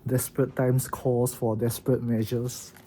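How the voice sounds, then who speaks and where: A man's voice: medium pitch, flat delivery, a little slow, mellow, a little hiss or hum. A young man with a heavy Singaporean accent, chatting casually and self-mockingly in a travel vlog about trying stand-up. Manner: chatty, self-mocking, swears when nervous. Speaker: vlogger, first-time stand-up comic.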